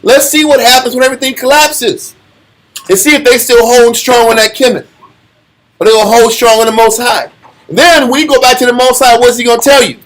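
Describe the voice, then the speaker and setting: High-pitched American man, taunting preacher. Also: fairly even intonation, a little fast, a bit bright, low-quality recording. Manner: colloquial, taunting, rhetorical questions. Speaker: religious teacher. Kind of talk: informal sermon discussion.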